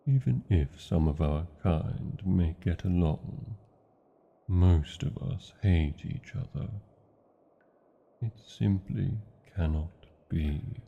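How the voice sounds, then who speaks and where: A British man playing an old, tender male dragon in a fantasy ASMR roleplay, speaking slowly and formally in a husky, gravelly voice. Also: lo-fi sound, heavily acted. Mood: wistful. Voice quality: husky, gravelly, dark low voice. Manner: slow, deliberate, formal phrasing.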